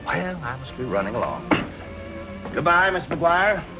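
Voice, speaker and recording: An actor playing a probing, evasive police captain in a 1940s film noir style. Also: male voice, fairly high pitch, big pitch swings, unhurried, low-quality recording. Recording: old film soundtrack with music behind.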